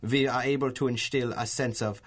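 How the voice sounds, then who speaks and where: An actor playing a gloating Nazi professor with a German accent, pompous and mock-courteous in a radio drama style. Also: male voice, medium pitch, fairly even intonation, normal speed, close to an everyday voice. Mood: gloating menace.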